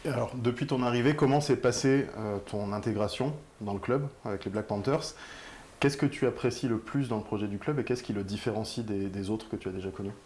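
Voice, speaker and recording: Male sports interviewer, low register, club web channel host, slight background noise. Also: fairly quick, darkish tone.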